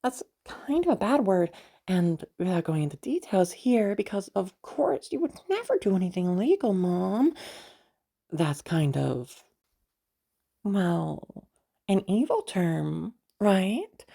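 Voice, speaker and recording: A woman's voice: medium pitch, big pitch swings, takes it slowly, creaky, warm tone, pitched above the performer's own voice, heavily acted. A woman voicing a defiant, sarcastic grown daughter who talks back with mock innocence in an amateur audio roleplay.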